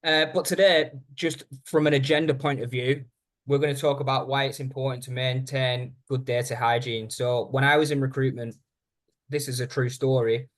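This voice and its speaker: A man presenting a software training webinar in a conversational, informal way, speaking directly to his listeners. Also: medium pitch, steady tone, normal speed.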